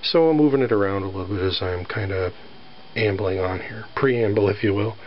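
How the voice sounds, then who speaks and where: A man with a scratchy voice from allergies, casually showing off his guitar with lots of fillers and throat clearing. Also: on the low side, a little fast. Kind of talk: casual show-and-tell. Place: near a sunny front porch. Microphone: handheld camera filming close-up. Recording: dull home-video sound with faint background noise.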